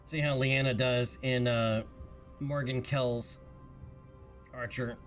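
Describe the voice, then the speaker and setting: A man's voice: medium pitch, flat delivery, takes it slowly, soft background music. A man streaming a game, thinking aloud in casual chat while congested from a recent cold. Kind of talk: casual gameplay commentary.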